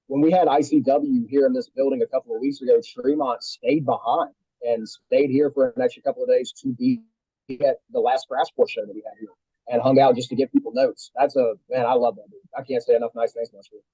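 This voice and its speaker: A man who works as a wrestling commentator, chatting as a guest in quick, enthusiastic bursts. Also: medium pitch, steady tone, mellow, husky, no room sound, dull audio. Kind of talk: casual chat.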